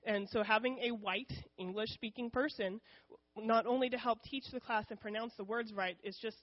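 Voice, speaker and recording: A woman's voice: a little high, fairly even intonation, moderate pace, lo-fi sound. A woman giving an informal missions talk to her church in a candid, conversational way, with frequent ums. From United States.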